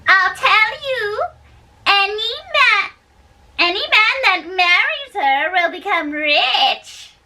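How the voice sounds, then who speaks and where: A woman playing a proud, fussy nurse servant with an emphatic, deliberate delivery, in an amateur dramatic reading of Shakespeare. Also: very high-pitched, lively intonation, bright, forceful tone, exaggerated voice.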